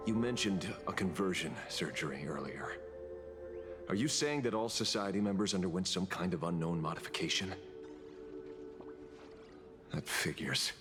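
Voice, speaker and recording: An actor playing a cynical, menacing interrogator in a video game, with a measured, taunting, dramatic delivery. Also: male voice, low voice, animated, music in the background. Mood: cold, probing. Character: menacing, cynical male interrogator mocking a hero.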